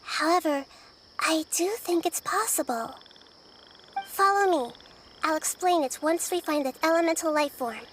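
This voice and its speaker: A woman playing a gentle, wise young girl deity in a video game, explaining things thoughtfully and politely. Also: very high voice, measured pace, slight background noise. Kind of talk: anime-style video game voice acting.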